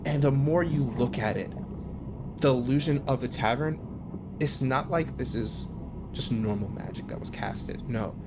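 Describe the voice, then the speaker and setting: A man improvising scene descriptions as a game master, in a halting way that circles back and rephrases. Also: medium pitch, very noisy. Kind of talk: improvised tabletop game narration.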